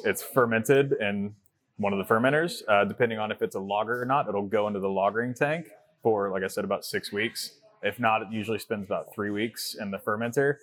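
A man with a lowish voice answers questions as a guest. He is plain-spoken and walks through his process step by step, with easy filler pauses. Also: fairly bright tone. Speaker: brewer, guest. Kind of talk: interview answers.

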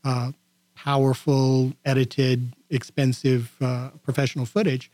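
A man's voice: medium pitch, flat delivery, unhurried, darkish tone, no echo. A man who is a guest on a radio talk show, speaking with many "uh"s and repeated words. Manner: filler-heavy, repeating words. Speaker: guest, video producer. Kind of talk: radio interview chat.

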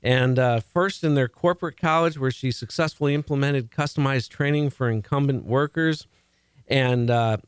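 A man's voice: mid-range voice, moderate pace, clear, resonant tone, no echo, studio quality. A man with a Chicago accent hosting a radio talk show, chatty and jokey, stumbling and restarting now and then.

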